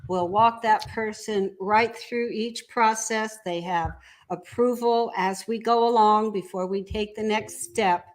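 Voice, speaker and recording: A woman's voice: mid-range voice, fairly even intonation, measured pace, poor audio. A woman speaking conversationally as an entrepreneur guest in an interview.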